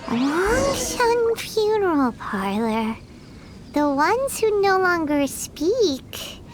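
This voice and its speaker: Tiny female fairy, game voice, piping and childlike, slow drawn-out words, easily rattled, loud music behind. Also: very high-pitched, a little hiss or hum, full character voice. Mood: puzzled. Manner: expressive, drawn-out reactions, hesitant stammering. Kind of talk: English video game voice acting.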